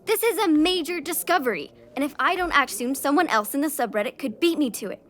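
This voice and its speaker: Casting: eager teen girl history buff, played by a woman, high and lively. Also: slight background noise. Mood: hurt. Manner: eager, explains things quickly. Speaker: actor. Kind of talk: audio drama acting.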